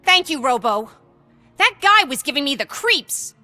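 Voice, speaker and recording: A woman's voice: sits high, sing-song, measured pace, over music. An actress playing an uneasy, harassed young woman on the street in a video game, speaking expressively. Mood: relieved.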